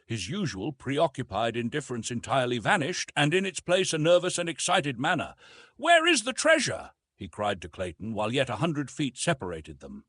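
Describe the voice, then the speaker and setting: A man narrating a classic novel as an audiobook, in a formal, expressive, dramatic style. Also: medium pitch, fairly quick, hardly any pauses, dry recording, clean studio sound. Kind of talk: scripted literary narration, dramatic.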